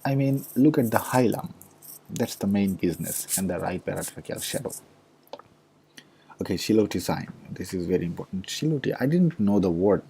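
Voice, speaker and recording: A man with a Bangladeshi accent lectures in a halting way, full of fillers and restarts, with the odd sarcastic aside. His voice sits very low, with a gravelly fry and a dark, husky tone. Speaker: medical lecturer. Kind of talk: recorded lecture over slides.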